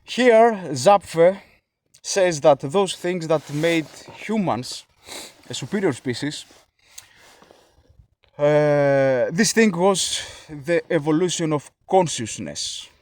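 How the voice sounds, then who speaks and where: A Greek man speaking English as a second language, explaining a philosophy idea in a casual monologue, halting with frequent fillers and restarts. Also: on the high side, expressive. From Greece.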